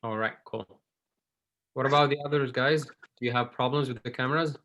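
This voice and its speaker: A man's voice: medium pitch, steady tone, brisk, fairly bright tone, a little hiss or hum, low-quality recording. Friendly male teacher on a video call. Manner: friendly, patient, loose with fillers. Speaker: English teacher. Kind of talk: online class over video call.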